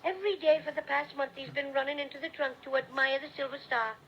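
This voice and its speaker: A cheerful little teddy bear with a gravelly Irish lilt. The voice sits high and rough, and it comes through a dull, lo-fi old radio recording. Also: male voice, steady tone, fast talker, a little hiss or hum. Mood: friendly. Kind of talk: 1930s radio play acting.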